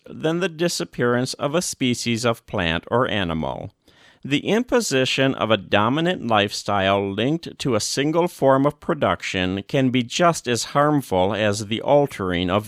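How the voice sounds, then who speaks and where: Man, scripted formal narration, wide melodic swings, deliberate phrasing, very dry recording. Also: mid-range voice, no echo. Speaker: audiobook reader. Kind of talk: scripted narration, reading a formal document aloud.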